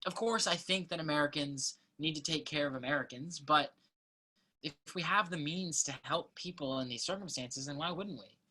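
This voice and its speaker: A woman plays an earnest college student in a naturalistic play reading. The voice is low for a woman and clearly bright. The delivery is thoughtful and measured, with small hesitations as the student reasons aloud. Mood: earnest, persuasive.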